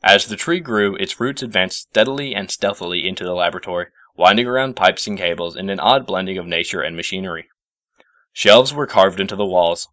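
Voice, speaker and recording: A man's voice: low-pitched, a bit bright, no room sound, clean studio sound. A man narrating a scripted story as its reader.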